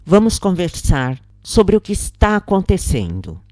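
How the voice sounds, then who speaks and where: A heartbroken, honest man speaks in bewilderment in a story reading, acted out by a woman. The delivery is slow and expressive, with a mellow tone. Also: fairly low pitch, dry recording, studio quality, voice pushed up. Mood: bewildered, pleading. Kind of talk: dramatised first-person story reading.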